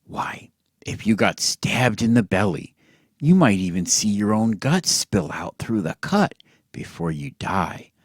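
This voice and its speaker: A man telling a satirical bedtime story as if to children, in a theatrical, mock-earnest way with drawn-out words and hushed drops. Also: fairly low pitch, sing-song, breathy edge, no echo, clean studio sound.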